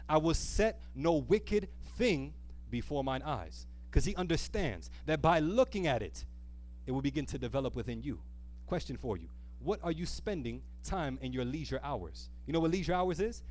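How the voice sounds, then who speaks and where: A man's voice: a little high, expressive, normal speed, darkish tone. A man preaching an earnest sermon, reading scripture and putting rhetorical questions to listeners, stretching key phrases for emphasis. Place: live church service.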